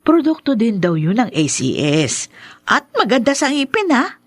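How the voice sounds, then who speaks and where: Fretful poor older mother, high, lively. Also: moderate pace, no echo, studio quality, full character voice. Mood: chatty, concerned. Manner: fretful, lamenting, stammers when shocked. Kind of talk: melodramatic Tagalog radio drama acting.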